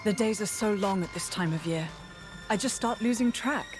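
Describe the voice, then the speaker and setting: An actress voicing a friendly, modest, easygoing young heroine in a video game, with a casual, light manner. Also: female voice, mid-range voice, normal speed, music in the background, lightly acted. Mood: friendly, playful. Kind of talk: video game cutscene acting.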